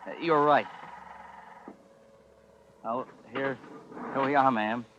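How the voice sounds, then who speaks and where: A man's voice: medium pitch, slow pace, a bit bright. A man acting a kindly, deferential lift operator in an old-time radio drama, hesitant and respectful. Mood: hesitant, sympathetic. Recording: muffled, noisy old radio recording.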